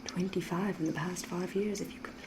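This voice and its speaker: Woman acting breathy, flirtatious vampire lady, noisy ambience. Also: on the low side, steady tone, brisk, natural voice. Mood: darkly intrigued. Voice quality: breathy, often whispering. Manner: genteel, old-fashioned, formal phrasing. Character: flirtatious, mysterious vampire woman posing as a genteel villager. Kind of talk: whispered ASMR roleplay.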